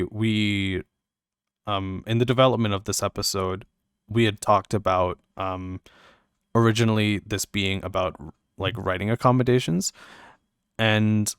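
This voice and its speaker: A young man from Canada hosting a casual podcast chat, relaxed and full of fillers, laughing easily. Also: lowish voice, smooth, no echo, studio quality. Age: young adult. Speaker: podcast host.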